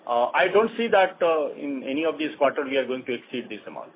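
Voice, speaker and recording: A man with an Indian accent, a bank executive answering analysts' questions in a halting, repetitive way. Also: medium pitch, lively intonation. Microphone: conference call line. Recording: tinny phone line with clear noise.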